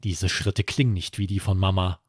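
A man's voice: low voice, flat delivery, normal speed, slightly rough, dry recording, clean studio sound. A man narrating a scripted bedtime story for children as the host.

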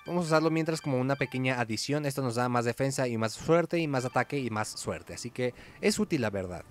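Dude chatting through a video game, casual, music going behind him. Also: male voice, mid-range voice, expressive, fast. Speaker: gaming video host. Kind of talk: casual gameplay commentary.

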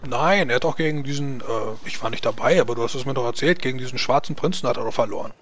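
A man voicing a naive, blunt and gossipy dwarf adventurer in a tabletop roleplay, halting and repeating himself. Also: medium pitch, fairly bright tone, natural voice. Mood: insistent, gossipy. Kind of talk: improvised in-character tabletop roleplay.

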